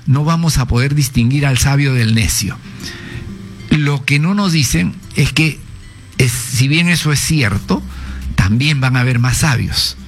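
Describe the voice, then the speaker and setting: Older man lecturing on radio. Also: medium pitch. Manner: professorial, measured, cites sources. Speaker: radio guest, former education minister. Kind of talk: explanatory radio interview.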